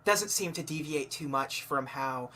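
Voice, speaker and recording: Halting, lower-pitched woman chatting casually. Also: bright, forceful tone. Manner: halting, repeats and restarts phrases. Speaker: podcast co-host. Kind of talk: casual chat about films.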